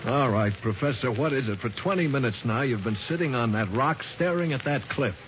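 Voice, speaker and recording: Brief: man as a feverish young adventurer, tense and halting, dull muffled vintage radio sound. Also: mid-range voice, lively intonation, a little hiss or hum, natural voice. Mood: eager. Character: troubled young male explorer, feverish and wounded. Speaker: character in a vintage radio drama. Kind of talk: 1940s radio acting.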